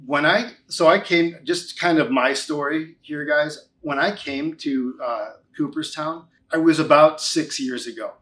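A man of forty-two with a slightly high voice speaks as a guest in an interview. He gives a conversational testimonial, repeating key phrases for emphasis. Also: measured pace, few pauses, a bit bright. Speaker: interview guest, financial advisor.

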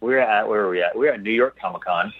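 A man talking casually as an interview guest, often pausing to search for his words over a call. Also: on the low side, husky, no echo. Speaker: guest, founder of a geek apparel company. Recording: thin phone-like call audio.

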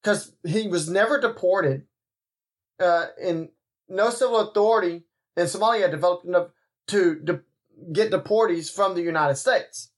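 A man with a high voice hosts his commentary podcast. He rambles, breaks off his sentences, starts them over and turns aside to talk to his listeners. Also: normal speed. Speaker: podcast host.

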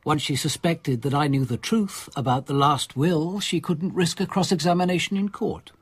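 Composed, husky police commander. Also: male voice, on the high side, fairly even intonation, normal speed. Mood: firm, reflective. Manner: polite, careful, probing. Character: composed, courteous, probing male police commander. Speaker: actor in a radio drama. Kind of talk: radio drama dialogue.

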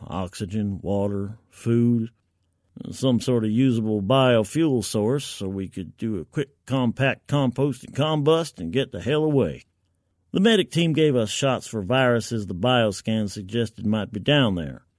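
A man's voice: medium pitch, animated, a little slow, a little mellow, no room sound, clean studio sound. A man narrating first-person science fiction in the colloquial, gritty, wry voice of a soldier.

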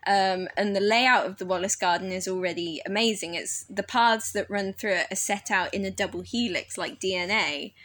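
An eager woman horticulturist talks through her garden plans on a podcast. She sounds openly nerdy and enthusiastic, and her voice sits at a middle pitch. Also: moderate pace, hardly any pauses, a bit bright, no echo. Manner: enthusiastic, self-described nerd. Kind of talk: podcast conversation.

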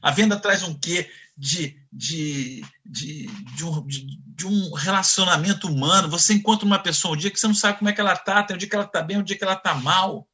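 A man's voice: high voice, brisk, few pauses. A man in his fifties with a Carioca accent, telling his career story in an interview and acting out the voices in his anecdotes. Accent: Carioca Portuguese. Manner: animated, acts out voices in anecdotes. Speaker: guest.

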